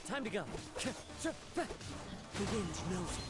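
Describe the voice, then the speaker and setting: A young wandering swordfighter from a fantasy game, calls out short poetic battle lines. The delivery is poised but swings widely in pitch, with loud music all around. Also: big pitch swings, extremely slow. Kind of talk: video game battle barks. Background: loud music and combat crashes.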